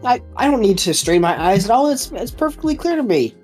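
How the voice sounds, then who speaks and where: A woman chatting casually on a group call in an animated, emphatic way. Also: fairly high pitch, a little fast, faint music behind. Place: online voice call.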